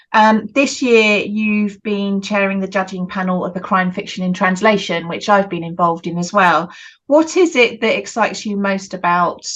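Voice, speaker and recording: A woman's voice: medium pitch, fairly bright tone. Encouraging female interviewer on a call. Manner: encouraging, brief affirmations. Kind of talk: conversational interview.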